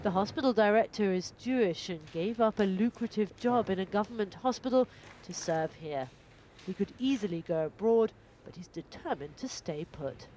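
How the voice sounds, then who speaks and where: A woman reporter giving scripted documentary narration in a measured, even way. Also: mid-range voice, a little hiss or hum, low-quality recording.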